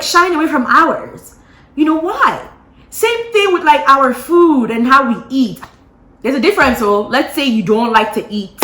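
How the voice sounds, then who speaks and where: A woman vlogger casually telling a gossipy story to her viewers, animated and full of playful asides. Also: on the high side. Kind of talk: casual gossip chat to camera. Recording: roomy echo.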